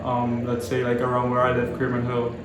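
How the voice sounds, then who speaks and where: Flat-toned young man, echoey room. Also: medium pitch, background noise. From Dominican Republic. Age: 20s. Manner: measured and explanatory. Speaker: planning graduate and local resident. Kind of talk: documentary interview. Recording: very echoey room with music behind.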